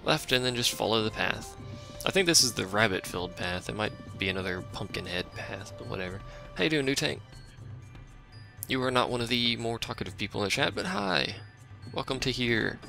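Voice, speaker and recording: A man with a deep voice giving casual, rambling commentary while he plays a game and chats with his stream viewers, offhand and self-deprecating. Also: animated, brisk, creaky, pushed and bright, faint music behind. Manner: chats with a live stream chat. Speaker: let's play streamer.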